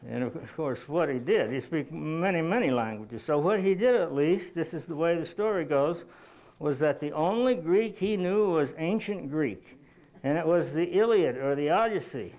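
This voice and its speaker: A man giving a lecture and telling anecdotes to an audience in a measured, halting, explanatory way. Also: a little high, darkish tone, low-quality recording.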